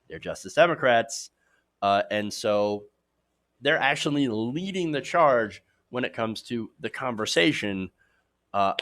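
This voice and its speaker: A man hosting a progressive political news show, giving emphatic, opinionated commentary straight to viewers while his voice is slightly hoarse. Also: medium pitch, a bit bright.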